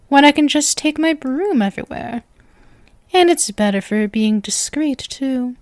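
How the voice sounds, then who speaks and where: A woman acts out a sweet yandere witch for an ASMR roleplay. Her voice sits fairly high and moves very slowly, coy and doting with a playful menace underneath. The sound is dry and close, free of room echo. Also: darkish tone. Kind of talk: ASMR roleplay, scripted acting.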